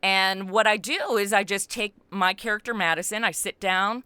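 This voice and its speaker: A middle-aged American woman speaks as a podcast guest, casual and animated. Her voice is bright and clear, recorded close and dry, and she talks informally with plenty of conversational filler. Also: medium pitch, normal speed, no room sound. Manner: animated, informal, peppered with like and you know. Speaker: interview guest, comedy podcast writer and voice actor. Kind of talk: casual interview chat about own creative work.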